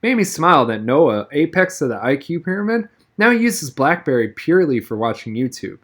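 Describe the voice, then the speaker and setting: A man's voice: on the high side, very animated, moderate pace, a bit bright. A man reading a first-person novel aloud expressively, now and then stumbling and rereading a word. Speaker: audiobook reader.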